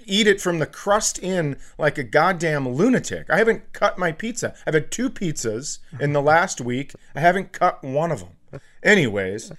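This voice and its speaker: A man's voice: a little high, expressive, moderate pace, a bit bright. A middle-aged American man telling stories casually on a comedy podcast, profane and exasperated as he mocks himself. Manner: profane, exasperated self-mocking rants.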